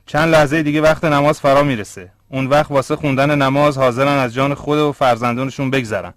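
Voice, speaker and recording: A man playing a plain, deliberate speaker in scripted dialogue for a religious educational cartoon. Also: medium pitch, steady tone, no echo, lightly acted. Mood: scheming.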